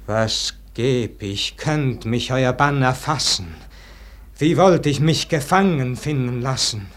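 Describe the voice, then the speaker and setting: An actor playing a weary, brooding scholar full of regret and longing, declaiming a verse monologue in a classic radio play. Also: male voice, medium pitch, slow, natural voice. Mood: yearning, fervent. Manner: declamatory, swinging from hushed murmur to ringing lament.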